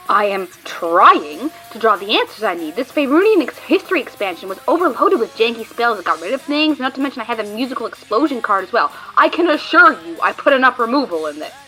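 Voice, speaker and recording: A woman voicing an excitable, nerdy young card gamer who talks fast and dramatically. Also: fairly high pitch, poor audio. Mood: explaining. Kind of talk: audio drama acting. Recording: faint music behind.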